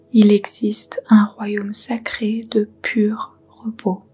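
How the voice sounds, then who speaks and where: Slow whispering woman guiding meditation. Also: mid-range voice. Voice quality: breathy, whispery. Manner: soothing, unhurried, gentle. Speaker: yoga nidra guide. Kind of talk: guided meditation, soft whispered narration.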